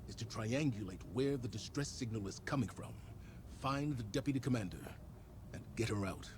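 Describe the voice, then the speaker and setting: An actor playing a grave, resolute military commander, giving orders and briefings in a solemn, measured, authoritative way for a video game. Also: male voice, on the low side, noisy background, natural voice.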